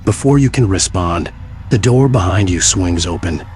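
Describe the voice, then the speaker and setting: A man with a very deep voice reads scripted story narration for a visual novel. There is faint noise behind him. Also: expressive, normal speed.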